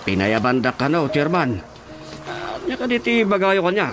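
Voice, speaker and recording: Radio drama husband: evasive blusterer who sputters and stammers, very animated, music behind him. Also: male voice, on the high side, takes it slowly, heavily acted. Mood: evasive, nervous. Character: blustering, evasive husband, cowed when confronted.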